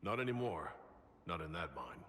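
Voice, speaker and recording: Deep, blunt game hero. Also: male voice, big pitch swings, normal speed, fairly bright tone, a little hiss or hum. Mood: cool, challenging. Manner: terse, blunt. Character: blunt, cool-headed male leader and protagonist. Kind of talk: video game dialogue.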